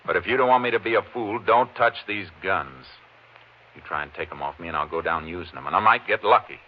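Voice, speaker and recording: An actor playing a calm, laconic Texas Ranger lawman in a 1950s radio western, speaking tersely. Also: low voice, a little fast. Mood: cool, defiant. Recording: noisy, muffled old 1950s radio recording.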